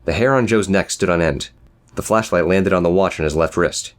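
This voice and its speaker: A man's voice: low voice, a little fast, heavy background noise. A man narrating a scripted, dramatic story as an audiobook reader.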